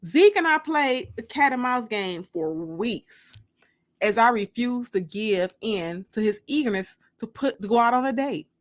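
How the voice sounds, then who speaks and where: A woman giving an expressive first-person dramatic audiobook reading, with theatrical pauses. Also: fairly high pitch. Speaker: author reading her own novel.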